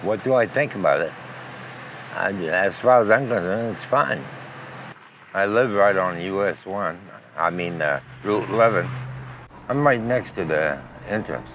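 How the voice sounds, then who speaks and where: A local man giving relaxed, matter-of-fact answers. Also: low-pitched, a little slow, slight background noise, poor audio. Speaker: local resident.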